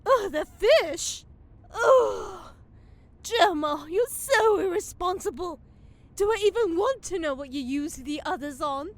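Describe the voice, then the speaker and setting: A woman's voice: very high-pitched, lively intonation, slow, exaggerated voice. A woman playing a prim, knowing forest fairy, theatrical and disapproving, in a fantasy comedy audio drama. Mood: appalled.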